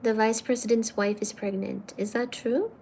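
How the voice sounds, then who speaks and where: A woman's voice: a little high, fairly even intonation, moderate pace, darkish tone, a little hiss or hum. A woman hosting a livestream, chatting casually and teasingly as she reads out viewer comments. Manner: playful and teasing, calls viewers darling. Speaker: livestream host.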